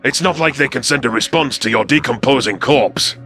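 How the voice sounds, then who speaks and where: A man's voice: medium pitch, fairly quick, creaky, fairly bright tone, soft background music, pitched above the performer's own voice. A man voicing a scrawny, scornful male reptilian predator alien with a hissing, sneering manner.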